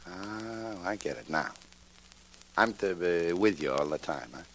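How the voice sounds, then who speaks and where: A man's voice: sits low, animated, unhurried, a bit bright, heavily acted. A man playing a tough, self-assured hired gun, terse and blunt, in 1940s radio drama style. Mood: wary, businesslike. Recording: noisy old 1940s radio recording.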